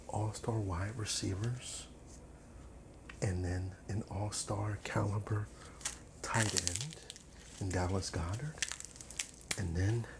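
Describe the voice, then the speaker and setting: A man's voice: fairly low pitch, vocal fry, heavy background noise. A man chatting casually in an ASMR cook-along style as he cooks, playfully repeating and drawing out his words. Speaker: host chatting while cooking. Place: home kitchen, indoors.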